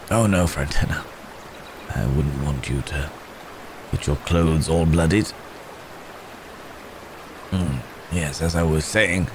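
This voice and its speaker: A man playing a wounded, courteous werewolf in an intimate ASMR roleplay, halting and strained with pain, with old-fashioned polite phrasing. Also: rumbling low, background noise, heavily acted. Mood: weary, polite. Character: wounded, weary, courteous male werewolf hunted by men.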